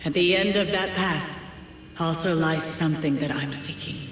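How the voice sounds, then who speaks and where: A woman playing a dignified, resolute mentor heard as a memory in a game cutscene, solemn and measured, with reverb and music. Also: low-pitched. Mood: cool, determined. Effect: heavy reverb for a memory. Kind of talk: dramatic game cutscene acting. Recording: heavy echoing reverb, music behind.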